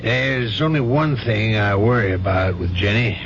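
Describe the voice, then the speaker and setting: A man's voice: on the low side, expressive, a little slow. An actor playing a firm, kindly, plain-spoken country family doctor in a 1970s radio drama, with folksy, fatherly emphasis. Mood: grave. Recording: muffled, noisy old radio broadcast with hum.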